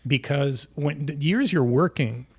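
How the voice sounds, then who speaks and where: A man's voice: on the high side, unhurried, breathy edge. A man co-hosting a podcast, chatting casually and articulately, with a teasing edge. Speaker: podcast co-host. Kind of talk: casual chat.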